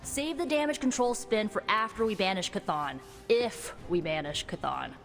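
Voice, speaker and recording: A woman's voice: medium pitch, a little slow, in a large hall, soft background music, close to an everyday voice. A woman voicing a blunt, jaded young witch. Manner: blunt, dry, sardonic. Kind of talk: video game cutscene acting.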